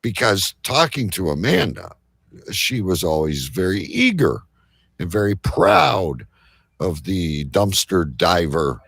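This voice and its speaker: A man hosting a live show in casual chat, with a halting, rambling delivery. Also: low voice, sing-song, gravelly, a bit bright, slightly rough, no room sound, clean studio sound. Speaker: host of a live online show.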